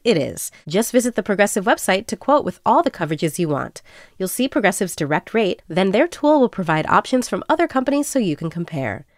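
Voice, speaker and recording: A woman reading a scripted insurance ad in a smooth, polished way. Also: medium pitch, dry recording, studio quality. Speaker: ad announcer. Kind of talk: scripted ad read.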